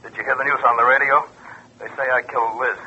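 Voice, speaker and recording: Panicky, trusting suspect in vintage radio drama; anxious stammer, narrow sound, faint noise. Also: male voice, mid-range voice, steady tone, quick pace, bright, forceful tone. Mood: anxious, pleading. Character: frightened, confused, trusting male murder suspect. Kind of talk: 1940s radio drama acting. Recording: tinny, noisy old radio recording.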